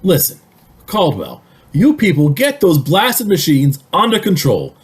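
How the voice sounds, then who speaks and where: An actor playing a blustering, exasperated police sergeant with a husky voice in an old-time radio drama. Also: male voice, fairly high pitch, huge pitch swings, a little slow, exaggerated voice. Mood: angry, exasperated.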